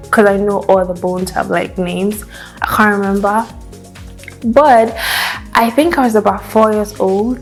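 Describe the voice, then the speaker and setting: A young woman, a native Hausa speaker, chatting casually to camera in a vlog, pausing to hum and think aloud while eating a meal. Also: medium pitch. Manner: chatty, thinks aloud with hums and trailing asides. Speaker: vlogger. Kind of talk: casual chat.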